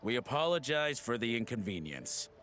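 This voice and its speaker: A man's voice: mid-range voice, sing-song, moderate pace, fairly bright tone, soft background music. A man playing a polite ship crewman in a video game, addressing passengers with formal, apologetic courtesy. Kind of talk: video game character voice acting.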